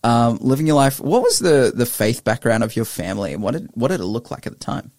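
A man's voice: medium pitch, animated, brisk, a little mellow, no room sound. Upbeat male radio host, dry studio. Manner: upbeat, chatty, many restarts. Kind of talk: radio interview.

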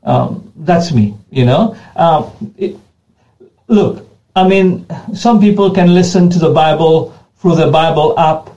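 Earnest pastor, Creole first language. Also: male voice, on the high side, takes it slowly, warm tone, muffled recording. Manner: earnest, repeats words and restarts often. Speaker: pastor being interviewed. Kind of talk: unscripted interview answers.

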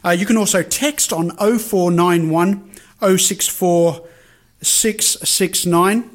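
Radio host, higher voice. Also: male voice, slow. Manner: relaxed, rambling with fillers.

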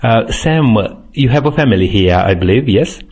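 A man hosting an interview, asking halting questions full of fillers. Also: medium pitch, lively intonation, a little mellow, husky. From Romania. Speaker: interviewer and program host.

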